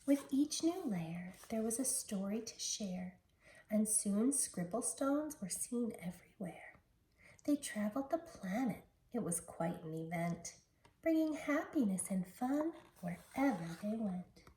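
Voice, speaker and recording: A woman, a teacher, reading a children's picture book aloud in an expressive storytelling style. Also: mid-range voice, unhurried. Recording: roomy, distant mic with background noise.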